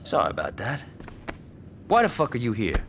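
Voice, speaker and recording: An actor playing a swaggering, trigger-happy gang lieutenant in a video game, cocky, profane and slangy. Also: male voice, mid-range voice, huge pitch swings, normal speed, gravelly, very noisy. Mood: menacing. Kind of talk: video game cutscene acting.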